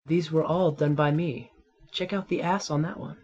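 A man's voice: on the high side, steady tone, moderate pace, loud music behind the voice, natural voice. Man as a smarmy, vain artist, slightly muffled. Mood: oily, flirtatious. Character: vain, lecherous male artist, smarmy and awkward. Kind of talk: comedic anime parody dub.

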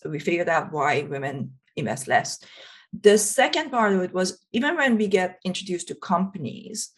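A woman from Iran, in her thirties or forties, interviewing conversationally with halting phrasing on a video call. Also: mid-range voice. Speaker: interviewer, host. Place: indoors in a home office.

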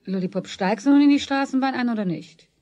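A woman's voice: fairly high pitch, quick pace, mellow, muffled recording, close to an everyday voice. An actress playing a worried, probing mother, reproachful and pleading, in a children's radio play. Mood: insistent. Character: worried, probing mother of two, office worker.

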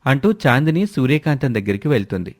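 A man's voice: medium pitch, very animated, fast. A man reading scripted narration for a family moral story.

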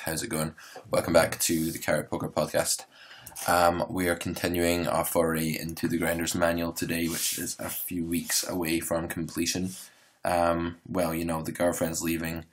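Poker coach, male, hoarse today, very low voice, loose rambling solo podcast delivery. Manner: relaxed, rambling, filler-heavy explaining.

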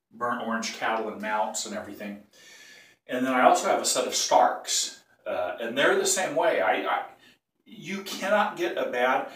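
An older man with a cold teaches bagpipe technique in a casual, rambling way. He keeps clearing his throat and stops to correct himself. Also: medium pitch, a bit bright. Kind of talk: casual tutorial. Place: small tiled bathroom. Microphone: phone or camera some feet away. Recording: echoey bathroom, voice from a distance.

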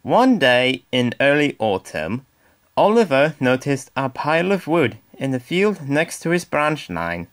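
A man reads a children's storybook aloud. His delivery is animated, with a very varied pitch, and he takes his time over each phrase. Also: a little slow. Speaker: storybook narrator. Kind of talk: expressive scripted children's story narration.

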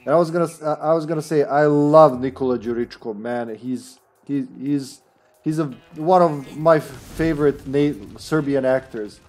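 A man's voice: on the high side, moderate pace, a little mellow, faint music behind. A man in his thirties chatting casually on a headset while streaming a video game, laughing often and trailing off mid-sentence. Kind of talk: casual gameplay commentary. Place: indoor room at home.

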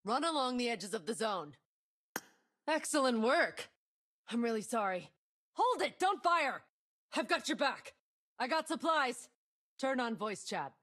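A woman's voice: a little high, sing-song, unhurried, long pauses, fairly bright tone, exaggerated voice. An actress playing a confident, determined young female tech mercenary in a video game, delivering short commanding callouts. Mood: urgent.

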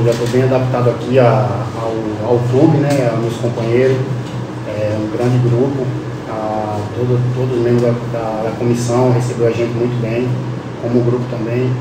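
Young man, Brazilian accent, football player, hesitant, monotone, distant roomy mic, noisy. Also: mid-range voice. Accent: Brazilian Portuguese. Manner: hesitant, repeats words, plain. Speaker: football player at press conference. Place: press conference room. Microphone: table mic, heard from a distance. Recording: roomy distant press room sound with noise.

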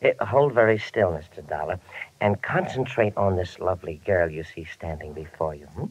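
A man's voice: deep voice, moderate pace, husky. An actor playing a fussy, sadistic henchman in a 1950s radio detective drama, savoring his precise words. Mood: silky menace. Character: creepy, gleeful henchman, ex lab technician, fussy and sadistic. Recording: noisy, muffled 1950 radio recording.

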